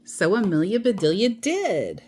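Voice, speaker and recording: Female storyteller for picture-book narration, mid-pitched, expressive and animated, taking her time. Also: measured pace, slight background noise. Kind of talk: expressive children's book read-aloud.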